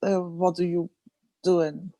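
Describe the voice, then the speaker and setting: Hesitant, slow-talking woman from Bulgaria. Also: on the low side, level tone, dark tone, dry recording. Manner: hesitant, many ums and uhs. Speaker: webinar participant. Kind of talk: casual spoken introduction. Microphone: video call.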